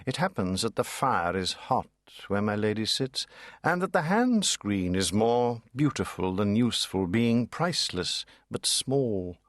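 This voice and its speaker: A man narrating classic fiction as a dramatic audiobook, measured and savouring each phrase. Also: fairly low pitch, animated, fairly bright tone, no echo, studio quality.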